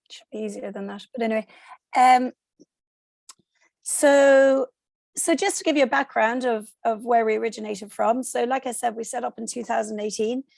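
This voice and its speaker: An Irish woman, hoarse from a cold, giving a steady online presentation over a video call and explaining with frequent ums. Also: fairly high pitch, dry recording. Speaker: guest speaker.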